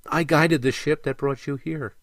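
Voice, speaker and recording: A man voicing a gentle, earnest female mermaid in a storybook, with soft, stately phrasing. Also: a little high, fairly even intonation, normal speed, no room sound, studio quality, voice pushed up, close to an everyday voice. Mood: regretful, explaining.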